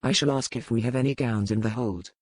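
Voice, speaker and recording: A woman playing a fierce male pirate captain with a dry, measured, formal manner in an audiobook romance. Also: rumbling low, darkish tone, husky, no echo, voice pushed down. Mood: dry, amused.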